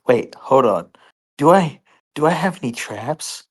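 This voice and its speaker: A woman's voice: a bass voice, animated, a little slow, darkish tone, breathy edge. A woman chatting casually as a player in a tabletop game, hesitantly thinking aloud. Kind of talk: casual chat.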